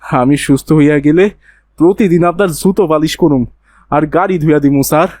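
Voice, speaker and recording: A man's voice: on the high side, brisk, a little mellow, no echo, clean studio sound, lightly acted. A man voicing a poor, grateful shoeshiner father in a moral cartoon, humble and deferential, with a colloquial Bangladeshi accent. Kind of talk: children's moral cartoon dub.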